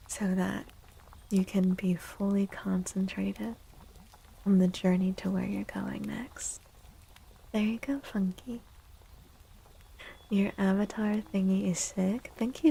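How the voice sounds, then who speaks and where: Soft breathy woman, slow and hushed. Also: medium pitch, rough recording. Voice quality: soft, breathy, often slipping into whisper. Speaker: livestream host and tarot reader. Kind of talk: soft-spoken ASMR livestream, chatting and reading tarot.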